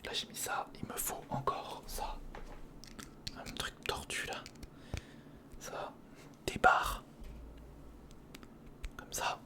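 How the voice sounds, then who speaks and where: Whispered, breathy ASMR build commentary. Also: slow pace, pauses often. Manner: casual muttering, thinking aloud. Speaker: ASMR video creator.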